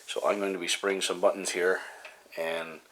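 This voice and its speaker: Deep-voiced man, halting casual demonstration. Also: little pitch movement, a bit bright, a little hiss or hum, poor audio. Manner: halting, pausing often mid-sentence. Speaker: hobbyist host of a repair video series.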